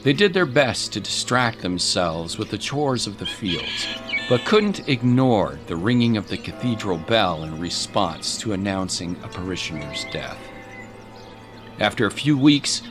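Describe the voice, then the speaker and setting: A man narrating a dramatic story from a script in a solemn, deliberate manner. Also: lowish voice, sing-song. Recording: music behind the voice. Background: music.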